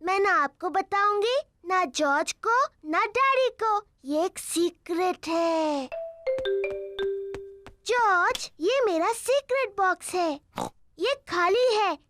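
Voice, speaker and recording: Very high-pitched, bossy little girl piglet. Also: expressive, slow pace, bright, forceful tone, faint music behind, exaggerated voice. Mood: secretive, eager. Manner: playful, assertive, childlike. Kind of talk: children's cartoon dub.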